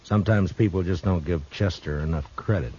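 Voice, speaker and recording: Very deep-voiced, steady frontier marshal, acted by a man. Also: brisk, loud music behind the voice, natural voice. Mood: calm authority. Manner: terse, deadpan, quietly defiant. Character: steady, dry, unflinching frontier town marshal. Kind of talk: 1950s radio western acting. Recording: muffled, noisy old radio recording.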